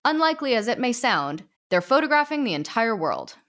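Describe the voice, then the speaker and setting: A woman's voice: on the high side, sing-song, brisk, fairly bright tone, pitched above the performer's own voice. A woman voicing a pompous, stern male ghost knight who presides over a meeting like a judge, speaking formally. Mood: stern officious.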